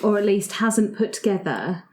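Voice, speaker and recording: A woman's voice: medium pitch, lively intonation, moderate pace, dark tone. A woman chatting casually as a player in a tabletop game.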